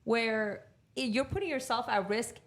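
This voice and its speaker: A woman's voice: on the high side, moderate pace, fairly bright tone, a little hiss or hum. A woman in her late twenties, co-hosting a casual podcast in a playful, slangy way. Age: 20s. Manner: playful, says "bro" and "dude". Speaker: podcast co-host. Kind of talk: casual chat.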